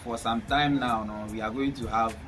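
A young Ghanaian man giving casual football punditry, speaking in a halting way and often repeating words. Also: mid-range voice, steady tone. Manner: halting, repetitive. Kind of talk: casual sports punditry, mixing English with a local language. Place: small bare indoor room, seated at a table. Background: music.

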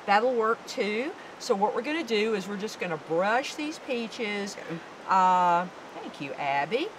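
A woman's voice: mid-range voice, expressive, unhurried. A woman in her fifties from the American South, giving an upbeat, instructive cooking demonstration on an outdoor deck. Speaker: guest cook. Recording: outdoor background noise.

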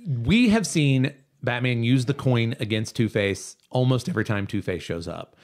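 A male podcast host with a widely swinging, animated intonation. He talks casually but analytically, leaning on repeated words for emphasis, at a middling pitch. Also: moderate pace. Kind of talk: casual pop-culture discussion.

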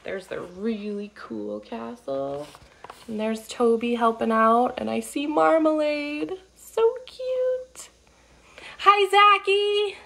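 A woman's voice: fairly high pitch, vocal fry. A woman in her thirties reading a picture book aloud to young children, with sing-song delivery and friendly asides. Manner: animated, slow and deliberate, sing-song child-directed delivery. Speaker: children's storytime reader. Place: living room at home, indoors. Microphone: phone or webcam at arm's length.

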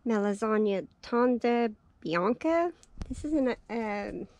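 Tired, raspy woman chatting slowly. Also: fairly high pitch, lively intonation, darkish tone. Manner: chatty, cheerful, thinking aloud. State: tired, raspy from lack of sleep. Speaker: farm vlogger. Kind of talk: casual walkthrough narration. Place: small greenhouse, indoors.

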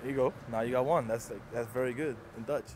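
A man's voice: medium pitch, steady tone, quick pace. A man in his twenties, a baseball catcher, chatting casually with plenty of slang. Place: outdoor bullpen cage. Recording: noisy outdoor sound, off mic.

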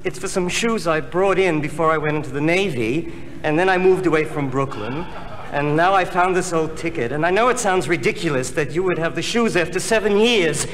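An actor playing a polite, sheepish customer in a comedy sketch, apologetic and earnest. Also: male voice, on the high side, a little fast, a bit bright, natural voice. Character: polite, sheepish, pleading male shoe shop customer. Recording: reverberant, faintly noisy old comedy album.

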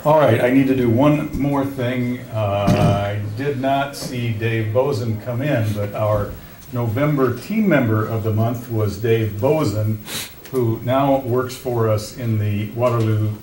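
A man in his sixties, a mayor chairing a city council meeting in a casual, halting way with restarts and fillers. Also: medium pitch, a little mellow. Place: council chamber. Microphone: desk microphone. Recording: roomy chamber, faint noise.

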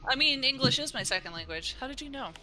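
A woman's voice: sits high, animated, quick pace, sharp tone, faint music behind. A young woman streaming an online game, joking and swearing with friends. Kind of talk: casual gaming chat with friends.

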